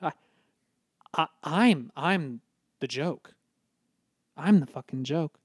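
A performer playing a distraught, guilt-ridden teenage boy, the class clown, halting and overwrought in a comedic audio drama. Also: mid-range voice, animated, slightly rough, no echo, studio quality. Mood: anguished, despairing.

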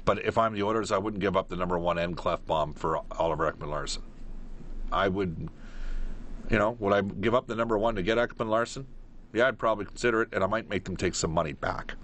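A man hosting a live sports phone-in, giving opinions emphatically and pausing mid-thought. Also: on the low side, fairly bright tone. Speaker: sports radio call-in host.

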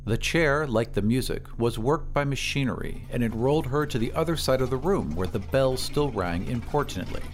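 A man narrates as a storytelling podcast host. His mid-pitched voice moves widely up and down with deliberate, theatrical phrasing, and music plays clearly behind him. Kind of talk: dramatic scripted narration.

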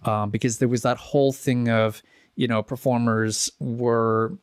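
A man's voice: medium pitch, steady tone, unhurried, clean tone, no room sound, studio quality. A man in his thirties, born in the Czech Republic, chatting as a musician guest in an interview, rambling through stories with many restarts and fillers. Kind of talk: casual interview chat.

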